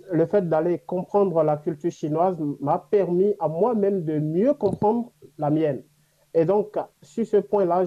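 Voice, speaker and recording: Higher-voiced African man, muffled sound. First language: an African language. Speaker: interview guest living in China. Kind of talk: unscripted panel interview answer.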